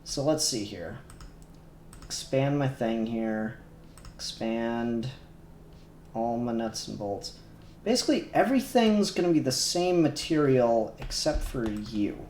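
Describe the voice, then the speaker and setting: A man's voice: mid-range voice, lively intonation, slow, a little mellow, a little hiss or hum. A man live-streaming his 3D modelling work, talking casually and muttering to himself, a little self-conscious. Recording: echoey room, faint music behind.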